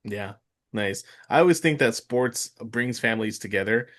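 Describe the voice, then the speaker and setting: A man's voice: medium pitch, expressive, a bit bright. A man in his mid-thirties hosting a sports podcast, talking casually with lots of filler words and restarts. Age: 30s. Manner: halting, heavy with fillers and restarts. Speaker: podcast host. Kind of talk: casual interview chat.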